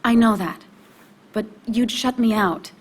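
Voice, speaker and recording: An actress playing an emotionally raw but determined young woman in a video game, speaking in a halting, confessional way. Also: on the high side, slightly rough. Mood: defensive, upset. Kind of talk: naturalistic video game acting.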